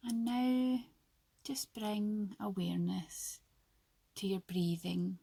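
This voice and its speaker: Slow, breathy woman guiding sleep meditation. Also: medium pitch, dry recording, poor audio. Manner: soothing, hushed, with long pauses between short phrases. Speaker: yoga nidra guide.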